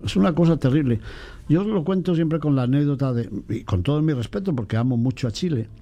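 Animated priest, mellow voice. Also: male voice, a little high, a little fast, faint music behind. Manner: animated, mimics voices in quotes. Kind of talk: casual chat on faith.

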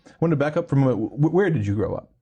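A man's voice: medium pitch, expressive, very fast, dark tone, husky, dull audio. A man hosting an interview, reflecting aloud with many fillers and tag questions. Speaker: interview host. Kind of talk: conversational interview.

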